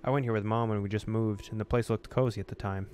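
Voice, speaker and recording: A man's voice: fairly low pitch, fairly even intonation, fast talker, a little mellow. A man reading a visual novel aloud as a gamer, mixing story narration with casual commentary. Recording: noticeable background noise.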